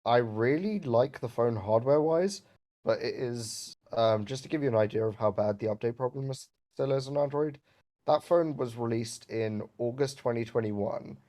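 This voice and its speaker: A man chatting casually about phones and software on a voice call, rambling with fillers and some swearing. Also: mid-range voice, normal speed. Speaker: jailbreak developer on a stream. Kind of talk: casual tech chat.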